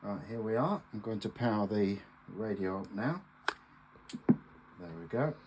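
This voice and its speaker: A man giving a casual hands-on demonstration as a radio hobbyist host, speaking in a halting, hesitant way with pauses. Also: on the low side, expressive, heavy background noise.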